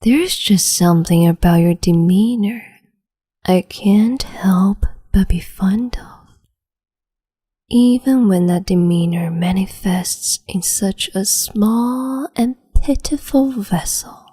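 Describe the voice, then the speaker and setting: A woman voicing a cruel, capricious empress in a whispered roleplay, slow, mocking and condescending, close to the mic. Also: medium pitch, dark tone. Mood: silky menace. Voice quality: whispery, breathy.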